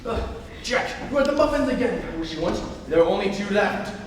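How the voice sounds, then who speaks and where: A young man acting as a flippant, gluttonous Victorian dandy in a theatrical comedy reading, with breezy, slightly halting delivery. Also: high-pitched, lively intonation. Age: young adult. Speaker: student performer in a dramatic reading. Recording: echoey noisy hall, far from mic.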